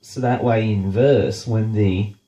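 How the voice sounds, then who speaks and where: Unhurried Australian host with a head cold, nasal and blunt, swearing his way through a sarcastic rant. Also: lowish voice, steady tone, mellow, echoey room, lo-fi sound. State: congested, nasal from a blocked nose. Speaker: show host. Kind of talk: casual profane rant.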